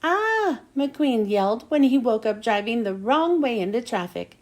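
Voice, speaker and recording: A woman reading a children's storybook aloud as an expressive narrator. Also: fairly high pitch, moderate pace. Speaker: storybook reader.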